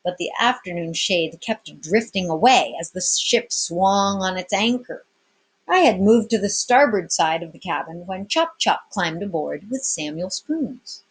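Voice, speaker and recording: A woman teacher reading a children's book aloud, pausing to explain and prompt her listeners. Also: lowish voice, lively intonation, breathy edge. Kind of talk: storybook read-aloud with explanatory asides.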